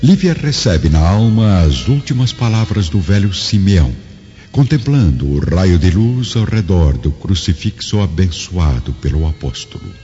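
A man's voice: low-pitched, sing-song, unhurried, mellow. A man narrating a scripted drama in a solemn, theatrical manner, recorded muffled with long reverb and music behind.

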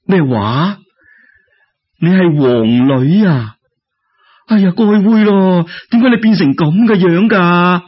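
Poor middle-aged folk-tale mother acted by a man, Cantonese, sympathetic fusser, husky, dull recording. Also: high-pitched, animated, takes it slowly, long pauses, voice pushed up, heavily acted. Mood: shocked pity. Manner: sighing, fussing, sympathetic.

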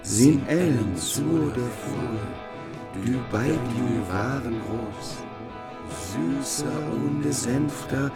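A man reciting medieval verse as a bard in a radio play. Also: medium pitch. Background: prominent music.

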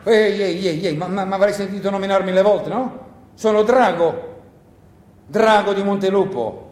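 A man performs a swaggering partisan commander in a radio drama. He blusters loudly in a high male voice, bossy and indignant. The words bounce off an echoing room with faint noise. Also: normal speed, close to an everyday voice. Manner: loud, blustering, demanding. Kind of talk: theatrical radio play dialogue. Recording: roomy echo with faint noise.

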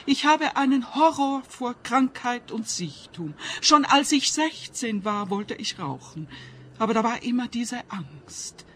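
A woman's voice: a little high, expressive, a little slow, a little hiss or hum. An actress playing an older woman scientist in a radio play, anxious, obsessive and stubbornly defensive, speaking plaintively. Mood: anxious, earnest.

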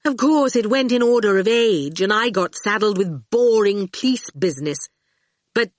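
A woman's voice: medium pitch, big pitch swings, a little slow, no room sound, clean studio sound. A woman voicing a haughty, glamorous, ageless woman ruler in an audiobook, cool and sweetly condescending. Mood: pouting, breezy. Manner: pouting, cold then gracious. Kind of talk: audiobook character voice.

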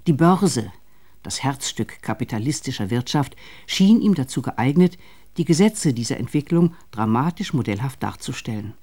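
Soft, dark-toned man narrating. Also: medium pitch, lively intonation, moderate pace. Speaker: radio feature narrator. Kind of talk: scripted narration.

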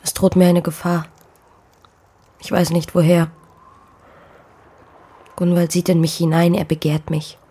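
An actress playing a poor young pregnant farm maid in a radio play, fearful yet stubborn, speaking earnestly in formal stage diction. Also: female voice, on the low side, little pitch movement, a little slow, pauses often, mellow, breathy edge. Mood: anxious, pleading. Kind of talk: German radio play acting.

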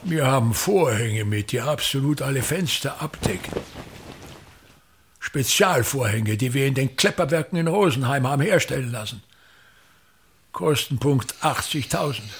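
A man's voice: a little high, creaky, a bit bright, husky, heavily acted. An actor playing a pompous, contemptuous old male theater director in a radio drama, with an Austrian accent and a declamatory, scornful way of talking.

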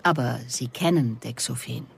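A woman's voice: rumbling low, moderate pace, creaky, slight background noise, heavily acted. A woman playing a persistent police investigator in a German radio drama, asking controlled, pointed questions. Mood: probing.

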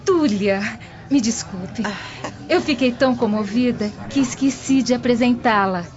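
Woman acting a high-voiced gentle noble maiden. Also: unhurried, crowd noise, natural voice. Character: gentle, tender young Roman noblewoman. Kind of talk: radio drama acting. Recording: dull lo-fi radio drama recording.

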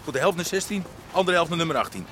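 An actor playing a bullying gang leader in a radio play, blunt, commanding and mocking. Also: male voice, a little high, big pitch swings, racing, fairly bright tone, a little hiss or hum. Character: brusque, bullying thug leading a gang.

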